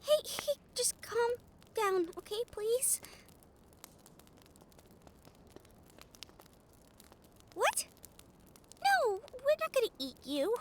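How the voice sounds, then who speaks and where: A woman playing a cheerful, caring young female harpy in an audio roleplay, gushing, excitable and soothing. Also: very high voice, slow, a little hiss or hum, full character voice. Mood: worried, coaxing.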